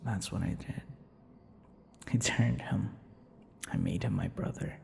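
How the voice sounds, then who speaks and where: Gravelly, swooping vampire lover. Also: male voice, lowish voice, wildly swooping pitch, darkish tone, big-room sound, heavily acted. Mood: reflective, smug. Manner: slow, breathy whispers and giggling, drawn-out words. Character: obsessive, vain, sadistic young male vampire lover. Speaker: roleplay voice actor. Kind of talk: intimate ASMR boyfriend roleplay.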